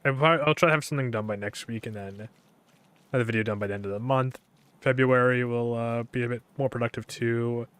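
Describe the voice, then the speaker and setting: A young man streaming a game, talking in a casual, rambling way with a monotone delivery, full of fillers and restarts. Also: mid-range voice, quick pace, clean tone. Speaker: gaming streamer.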